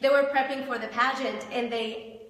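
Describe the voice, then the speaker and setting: A Spanish-speaking woman from Colombia, an interview guest chatting casually in an animated, encouraging, effusive way. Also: high-pitched, normal speed. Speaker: interview guest, pageant director. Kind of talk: casual motivational chat. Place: echoey indoor clothing shop. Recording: very echoey hall-like room.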